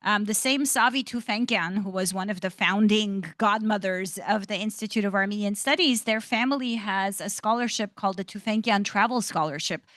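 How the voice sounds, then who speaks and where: A woman panelist addressing students with articulate enthusiasm. Her pitch sits in a middle range, and the sound is dry and close, with no room echo. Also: moderate pace, hardly any pauses, fairly bright tone. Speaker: institute director, panelist. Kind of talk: panel discussion answer. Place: lecture hall panel event.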